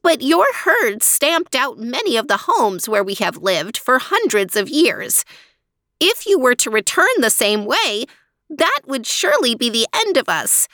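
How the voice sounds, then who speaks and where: A woman doing a high, earnest little mouse. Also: sing-song, measured pace, sharp tone, no room sound, clean studio sound, heavily acted. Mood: pleading. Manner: earnest, pleading. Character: small brave pleading mouse.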